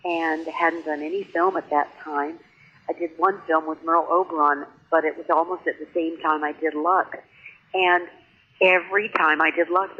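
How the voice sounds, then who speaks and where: A woman's voice: low voice, slightly rough, highs cut off. Older woman reminiscing with effusive enthusiasm. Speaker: guest, former child radio actress. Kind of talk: reminiscing interview.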